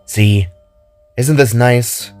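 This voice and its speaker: A man's voice: mid-range voice, extremely slow, a little mellow, clear, resonant tone, soft background music. A man voicing a kind, playful, brotherly alien character in an audio story who gets rattled under pressure. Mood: teasing, warm.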